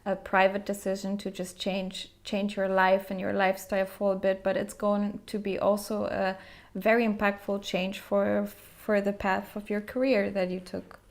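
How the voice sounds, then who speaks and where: A woman's voice: medium pitch, completely flat delivery, normal speed. A woman hosting an interview podcast, asking conversational questions in a wordy, searching way. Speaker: podcast host interviewing a guest.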